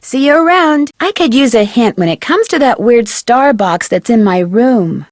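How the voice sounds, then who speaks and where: A woman playing a curious, polite young female detective in scripted video game dialogue, clearly enunciated and inquisitive. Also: fairly high pitch, darkish tone, no room sound, clean studio sound, natural voice. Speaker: voice actor playing a game's lead character.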